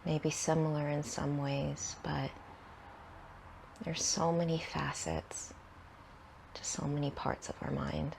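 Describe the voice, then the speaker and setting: A woman leading a guided meditation in a soothing, unhurried manner, leaving long pauses between short phrases, with soft, breathy endings. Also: deep voice, flat delivery, a little hiss or hum.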